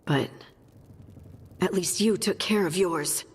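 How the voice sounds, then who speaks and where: A woman's voice: on the low side, slow, breathy edge, in a large hall, heavily acted. A woman playing a practical, level-headed companion in a fantasy video game. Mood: matter-of-fact.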